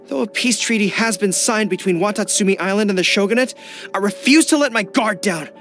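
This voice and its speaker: A man's voice: sits high, a little fast, a bit bright, faint music behind. A man voicing an earnest, loyal young dog-eared general in a video game, sincere and dutiful. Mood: resolute. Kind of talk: game character voice lines.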